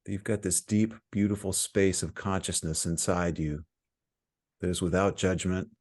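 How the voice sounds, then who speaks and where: A man leading a guided meditation as a teacher, giving calm, soothing instructions with frequent pauses. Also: sits low.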